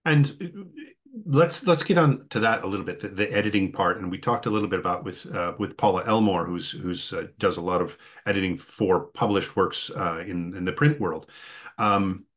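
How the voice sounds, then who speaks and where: A man hosting a writers' workshop conversation, talking in a halting way with many fillers and restarts. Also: low voice, a bit bright. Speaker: host. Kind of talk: conversational interview.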